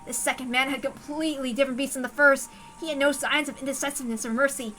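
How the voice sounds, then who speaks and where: A woman's voice: high voice, steady tone, fast talker, a bit bright, faint music behind. A woman reading a first-person story aloud as a dramatic narration. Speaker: visual novel reader.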